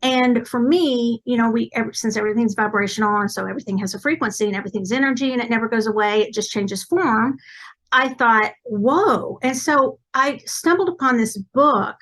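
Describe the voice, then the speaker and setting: A woman's voice: fairly high pitch, brisk, a bit bright. Chatty woman with East Texas accent. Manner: chatty, rambling, self-correcting. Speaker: podcast guest, psychic medium. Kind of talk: casual interview chat.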